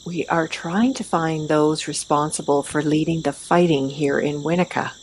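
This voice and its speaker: A woman playing a confident, calculating female vigilante, speaking in a deliberate, matter-of-fact way in an audio play. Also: low voice, lightly acted, rough recording. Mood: watchful. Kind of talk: amateur audio play acting. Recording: steady noise behind the voice.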